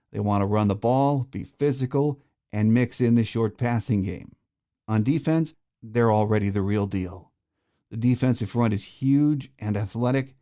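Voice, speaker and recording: A man's voice: lowish voice, warm tone. An American man hosting a sports podcast, delivering commentary and interview questions. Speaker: podcast host.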